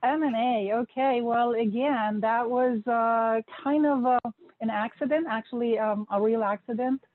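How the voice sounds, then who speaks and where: A woman who learned English as an adult, a guest answering interview questions in a relaxed way, laughing easily and pausing to think. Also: on the high side, no room sound. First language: not English. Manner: relaxed, laughing, thoughtful pauses. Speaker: podcast guest, investor. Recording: dry thin phone-like audio.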